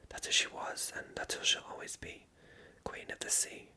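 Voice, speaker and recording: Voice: moderate pace, pushed and bright. Composed, whispering storybook witch, voiced by an ASMR reader. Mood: gracious. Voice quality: breathy whisper. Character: gracious, guarded witch woman, loyal to her friend.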